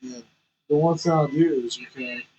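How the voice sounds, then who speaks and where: A man's voice: fairly high pitch, moderate pace, darkish tone, breathy edge, dull audio. A man vlogging candidly from the cab of his semi truck, rambling and self-conscious as he chats to viewers. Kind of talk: casual chat while driving.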